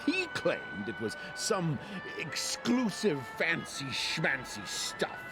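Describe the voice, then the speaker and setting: Game role: cynical, grumbling old man, raised pitch, hugely animated delivery. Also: slow pace, exaggerated voice. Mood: jaded, scornful. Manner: cynical, world-weary, dismissive drawl. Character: jaded, grumbling older man in a dream city. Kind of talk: video game voice acting. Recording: music under the voice.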